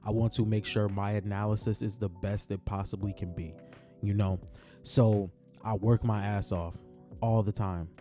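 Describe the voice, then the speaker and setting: Raspy-voiced man in a laid-back basketball vlog. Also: on the low side, level tone, normal speed, dark tone, faint music behind. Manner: laid-back, repeats phrases, heartfelt. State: raspy morning voice. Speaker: sports vlogger. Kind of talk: casual basketball talk vlog. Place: on his way to work.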